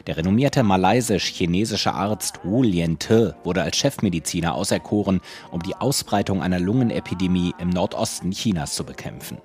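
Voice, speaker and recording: A man delivering a scripted radio report as a correspondent, even and factual. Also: deep voice, moderate pace, hardly any pauses.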